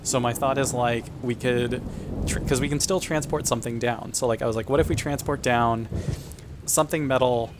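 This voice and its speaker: A man's voice: medium pitch, steady tone, moderate pace, noisy background. A man playing a tabletop game, chatting casually and thinking aloud in a hesitant way.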